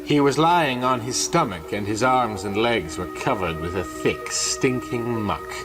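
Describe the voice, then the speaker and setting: A male narrator reads a horror comic with grave drama. His mid-range voice moves with lively inflection, and a soft musical score runs behind it. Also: mid-range voice, unhurried, music in the background, low-quality recording. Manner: grave, ornate storytelling.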